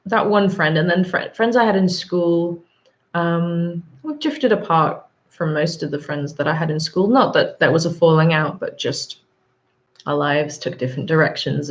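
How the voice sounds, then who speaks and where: Husky woman w a sore throat rambling on a livestream, lots of ums, lowish voice, kinda muffled audio. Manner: chatty, rambling with frequent ums. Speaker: livestream host. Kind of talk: live chat reading viewer comments.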